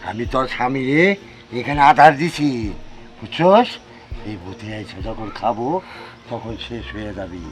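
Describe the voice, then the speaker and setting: A man's voice: medium pitch, a little slow, pauses often, close to an everyday voice. A man playing a scheming, power-hungry sorcerer who serves a ghost, theatrical and colloquial, in an amateur horror film. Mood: menacing. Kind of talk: amateur horror-comedy short film acting.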